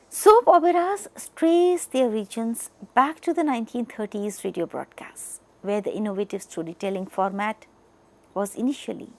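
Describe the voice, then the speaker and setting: A woman with an Indian accent delivers a scripted educational lecture, speaking in deliberate, emphatic phrases with pauses. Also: mid-range voice, a little mellow, low-quality recording.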